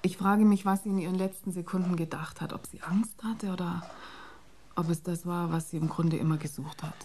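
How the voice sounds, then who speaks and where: An actress playing an earnest, sharp-witted programmer on the run in a radio drama. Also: female voice, on the low side, steady tone, fairly quick, a little hiss or hum, natural voice. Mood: brooding.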